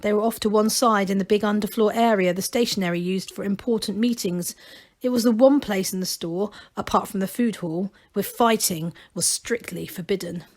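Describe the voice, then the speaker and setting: A woman reading a children's novel aloud as expressive, scripted storybook narration. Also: medium pitch, normal speed.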